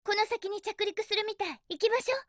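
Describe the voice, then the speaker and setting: A woman's voice: extremely high pitch, fairly even intonation, brisk, a bit bright, dry recording, clean studio sound. A woman playing a spirited, bossy young hedgehog heroine in a Japanese video game, girlish and feisty, with cutesy emphatic delivery. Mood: determined, urgent.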